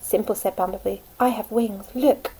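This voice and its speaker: A woman's voice: medium pitch, fairly even intonation, normal speed, mellow, slightly rough, rough recording. A woman voicing a blunt, matter-of-fact bumblebee in a children's storybook. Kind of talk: children's storybook read aloud.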